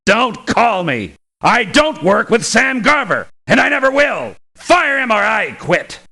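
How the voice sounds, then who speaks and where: A man's voice: extremely high pitch, big pitch swings, unhurried, vocal fry, bright, forceful tone, full character voice. A man playing a cocky, scornful mercenary in a video game, talking in an indignant, sardonic, emphatic way. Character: cocky, scornful male mercenary soldier for hire.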